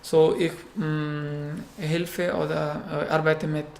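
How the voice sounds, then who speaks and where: A man from Afghanistan with an Afghan accent, whose first language is Pashto, answering interview questions in a halting way with many fillers. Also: on the high side, flat delivery, vocal fry, a little mellow, reverberant, slight background noise. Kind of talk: casual interview.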